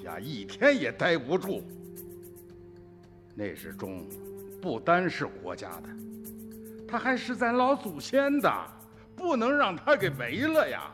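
A man's voice: high voice, huge pitch swings, measured pace, long pauses, music in the background, full character voice. An actor playing a principled, earnest old farmer and grandfather in a radio drama, speaking in a plain, folksy way. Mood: grave, urgent.

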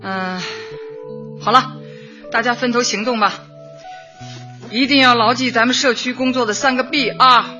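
An actress in a radio drama playing a brisk, cheerful older female community party secretary, chatty and emphatic as she instructs. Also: a little high, steady tone, pushed and bright. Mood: brisk, commanding. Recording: dull audio with music behind. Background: background music.

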